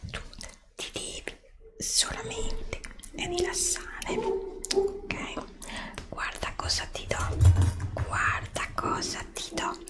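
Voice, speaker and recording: A woman whispering slowly and soothingly close to the mic in an ASMR roleplay, repeating gentle reassurances as a dentist. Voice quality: breathy whisper. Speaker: ASMR creator role-playing a dentist. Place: indoors at a desk before a green screen. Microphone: Blue Yeti desktop microphone, close up.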